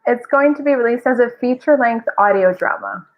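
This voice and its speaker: A woman's voice: on the high side, fairly quick. Dull-sounding recording of a talkative woman guest. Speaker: guest, voice actor. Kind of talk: casual podcast interview.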